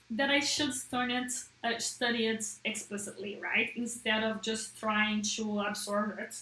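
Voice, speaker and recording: A chatty woman, a native Portuguese speaker, talks in English to her live-stream viewers with a fairly high voice. She keeps checking in with a quick "right?". The room gives her voice a roomy echo. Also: a bit bright. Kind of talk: casual live-stream chat.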